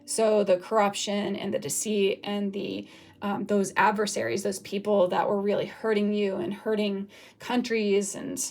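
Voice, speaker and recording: A woman with a raspy voice from recent illness, giving a casual, rambling tarot reading with many pauses and fillers. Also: medium pitch, little pitch movement, darkish tone. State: raspy from recovering from flu. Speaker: tarot reader.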